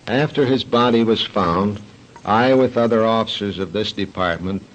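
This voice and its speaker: Low-voiced, formal male police officer. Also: normal speed. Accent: American. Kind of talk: read official statement on radio. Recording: dull 1940s radio recording.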